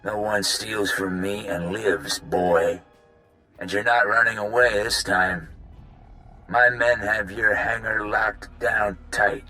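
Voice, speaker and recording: Slow, deep, menacing crime boss. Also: male voice, fairly even intonation, pushed and bright, soft background music, poor audio. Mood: cold menace. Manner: slow, threatening, taunting. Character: menacing male crime boss called the Butcher. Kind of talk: video game cutscene acting.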